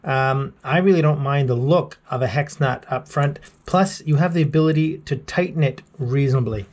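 A man's voice: medium pitch, normal speed, darkish tone. A middle-aged man casually talking through repair tips in a small wooden boat cabin, chatty and self-deprecating. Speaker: vlogger. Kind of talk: casual how-to vlog narration.

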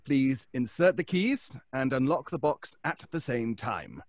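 A man's voice: medium pitch, sing-song, measured pace, dry recording, clean studio sound. An actor playing a polite, upbeat lawyer in an audio drama, formal and wordy in his speech. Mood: formal, officious. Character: polite, fussy, upbeat male estate lawyer.

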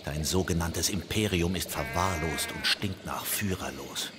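An actor in a radio play playing the devil's exasperated confidant, scolding and sarcastic. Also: male voice, low-pitched, unhurried. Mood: scolding, disgusted. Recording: long reverb, faint background noise.

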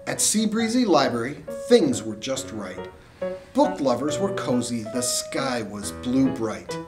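A middle-aged American man reading a children's story aloud in an animated storyteller's style. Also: mid-range voice, measured pace. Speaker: school communications director reading aloud. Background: music.

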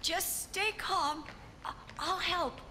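A woman's voice: very high-pitched, steady tone, slow, sharp tone, a little hiss or hum, heavily acted. An actress voicing a frightened young woman hiding from a killer while searching for her son, in an anxious, pleading manner. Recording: game audio with music behind.

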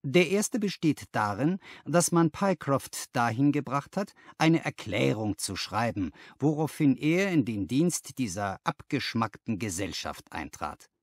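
A man voicing a confident, analytical gentleman detective who lectures emphatically in an audiobook, posing rhetorical questions. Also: medium pitch, big pitch swings, a little slow, few pauses, no echo, studio quality. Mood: instructive. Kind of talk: audiobook character dialogue.

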